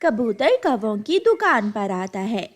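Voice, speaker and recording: A woman reads a children's moral tale as narrator. Her voice is fairly high and moves with a lively storybook lilt. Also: slow pace.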